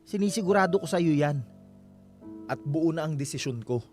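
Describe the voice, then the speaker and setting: Actor doing a stubborn trusting filipino husband in a radio drama, really animated delivery, bit of music under it. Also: male voice, fairly high pitch, normal speed, natural voice. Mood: firm, resolute. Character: trusting, stubborn husband Emil, calmly firm.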